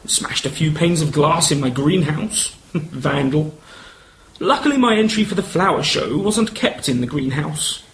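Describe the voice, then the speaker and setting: A man acts an older retired colonel for an amateur radio play. He is courtly and proud, with a high, swooping voice and old-fashioned gallantry, over a faintly noisy recording. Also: expressive, unhurried, fairly bright tone. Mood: dismissive, indignant.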